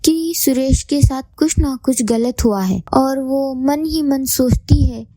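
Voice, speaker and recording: Slow, high-voiced woman storyteller. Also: warm tone, no echo. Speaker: story narrator. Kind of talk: scripted children's moral story narration.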